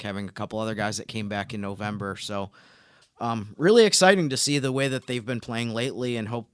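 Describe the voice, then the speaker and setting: A man talks sports as a podcast co-host, with a smooth, clean voice of average pitch. His delivery is conversational and explanatory. The recording is tight and dry. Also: fairly bright tone, no echo.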